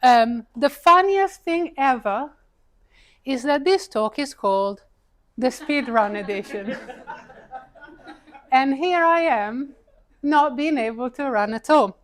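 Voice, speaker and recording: A woman's voice: high voice, expressive, takes it slowly, long pauses. A woman with an Italian accent, in her thirties, giving a chatty, self-deprecating live talk to an audience, with frequent fillers and laughs. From Italy. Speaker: paid advertising speaker. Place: event room with an audience, seated.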